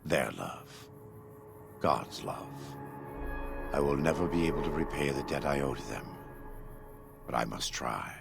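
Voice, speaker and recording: Grim devout burned ex-warlord from a game, super deep gravelly voice, talks slow n solemn like a preacher, music behind. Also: male voice, exaggerated voice. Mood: solemn, fervent. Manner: solemn, measured, scriptural preacher-like phrasing. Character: devout, scarred, grave former warlord, a burned man in bandages. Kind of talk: video game character dialogue.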